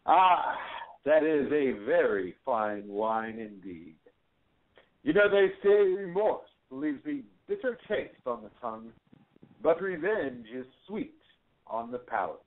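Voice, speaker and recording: A man's voice: mid-range voice, big pitch swings, takes it slowly. A man hosting an audio drama, delivering scripted lines in a sly, savoring, theatrical way. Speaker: host. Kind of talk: scripted theatrical anthology host outro. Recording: phone-like lo-fi line.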